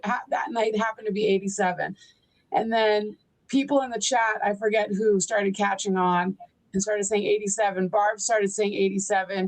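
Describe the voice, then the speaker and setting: A woman's voice: mid-range voice, poor audio. A woman in her forties, chatting and rambling through a story on a livestream. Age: 40s. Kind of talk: casual livestream chat.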